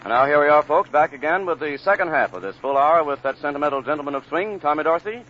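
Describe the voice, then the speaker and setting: A man's voice: on the high side, expressive, fast, fairly bright tone, slight background noise. A man announcing a big band programme in 1940s radio style, on an old broadcast recording. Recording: dull vintage 1940 radio broadcast recording.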